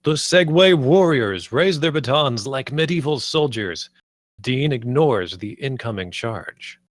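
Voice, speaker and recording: A man's voice: medium pitch, lively intonation, measured pace, dry recording, clean studio sound. A man reading stage directions aloud as scripted screenplay narration.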